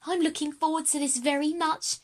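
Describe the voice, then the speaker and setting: A woman's voice: sits high, steady tone, fairly quick, lightly acted. A woman voicing a polite, earnest schoolchild in a children's audio drama. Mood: eager, friendly.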